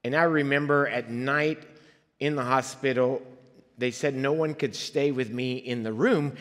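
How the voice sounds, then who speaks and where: Male guest preacher raised in Liberia, middle pitch, earnest testimony, long church reverb. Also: steady tone, a little slow, fairly bright tone. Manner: conversational, earnest storytelling with audience asides. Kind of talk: personal testimony in a sermon. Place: church sanctuary.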